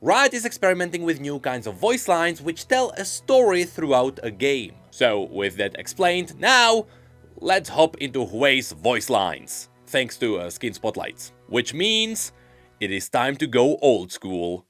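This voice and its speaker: A man's voice: mid-range voice, very animated, a little slow, fairly bright tone, faint music behind. A man giving casual, playful commentary as a streamer, explaining and reacting to video game lore with emphatic delivery.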